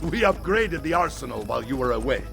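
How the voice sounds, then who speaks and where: An actor playing a commanding, wry middle-aged Italian assassin leader with an Italian accent in a video game. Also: male voice, mid-range voice, sing-song, quick pace, gravelly, over loud music. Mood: jovial. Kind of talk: video game cutscene acting.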